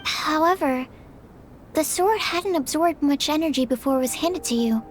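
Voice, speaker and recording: A woman's voice: high-pitched, moderate pace, a little hiss or hum, natural voice. A woman voicing a polite, earnest, shy young female alchemist in a video game, precise and formal. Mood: admiring, curious.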